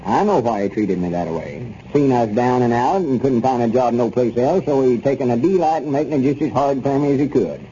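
An actor playing an aggrieved, stubborn older storekeeper in a 1930s radio comedy, with a rural Southern accent and folksy, grumbling talk. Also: male voice, medium pitch, lively intonation, a little fast, few pauses, warm tone, lightly acted. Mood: bitter, indignant. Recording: noisy dull 1930s radio recording.

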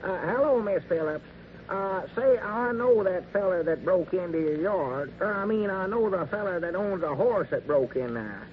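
High-voiced, muddled old hillbilly. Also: male voice, lively intonation, normal speed. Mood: flustered. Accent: Arkansas hillbilly. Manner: folksy, querulous, muddled. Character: naive, reluctant old country storekeeper, easily muddled. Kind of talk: 1940s radio comedy acting. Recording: noisy, narrow-band 1940s radio recording.

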